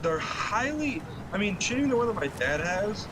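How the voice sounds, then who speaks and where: A man's voice: high voice, big pitch swings, moderate pace. A man chatting casually as a livestream co-player, informal and self-deprecating, often repeating himself. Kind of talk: casual chat. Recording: clear background engine noise.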